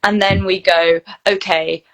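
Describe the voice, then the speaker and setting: A British woman speaks in a casual interview setting. She explains things in a chatty, conversational way, often voicing imagined exchanges as she goes. Her pitch sits in the middle range for a woman. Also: fairly even intonation, unhurried, fairly bright tone, low-quality recording. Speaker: guest, voice reel studio founder and actor.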